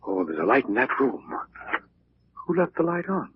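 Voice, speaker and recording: A man's voice: mid-range voice, sing-song, normal speed, lightly acted. Man acting an agitated, anxious man, muffled radio. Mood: frightened, agitated. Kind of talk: 1940s radio drama acting. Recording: muffled, noisy old radio recording.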